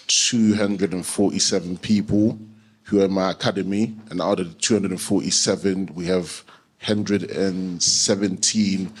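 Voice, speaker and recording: A man born in Germany, a life coach on a panel, talking confidently with a joking edge. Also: sits low.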